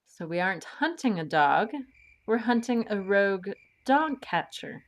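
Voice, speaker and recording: Woman playing a dry, sensible female adventurer, measured. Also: mid-range voice, big pitch swings. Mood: thoughtful, focused. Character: sensible, bookish woman adventurer, dryly skeptical. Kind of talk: audio drama acting.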